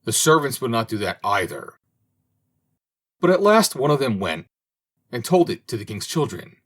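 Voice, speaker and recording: A man reading an old fairy tale aloud as an audiobook narrator, in a slow, expressive manner. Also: medium pitch. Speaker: audiobook reader. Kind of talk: storybook narration.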